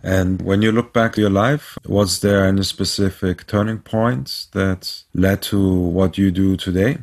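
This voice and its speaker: Very deep-voiced male podcast host. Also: steady tone, gravelly. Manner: measured, formal introductions. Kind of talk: podcast interview.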